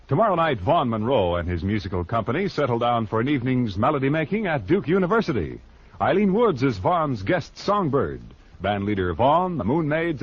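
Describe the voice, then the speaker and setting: A man's voice: mid-range voice, husky. An American man announcing in the polished style of 1950s network radio. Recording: muffled old radio recording, background hiss.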